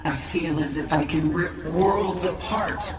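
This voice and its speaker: A woman's voice: on the low side, measured pace, very noisy. A woman playing a commanding, defiant alien-hybrid warlord in a video game, with a cool, threatening manner and an echoing processed voice. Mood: defiant, proud. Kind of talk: video game character acting. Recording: echoing, loud music behind.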